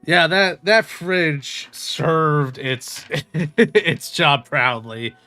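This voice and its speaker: Bright-voiced, slow-paced man streaming games. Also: on the high side. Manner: informal, rambling, chuckling. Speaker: game streamer. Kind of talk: casual livestream chat over gameplay. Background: game music.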